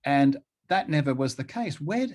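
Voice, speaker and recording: A middle-aged man speaking conversationally as an interview guest, haltingly, often repeating phrases to drive them home. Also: a little high, expressive, a little mellow.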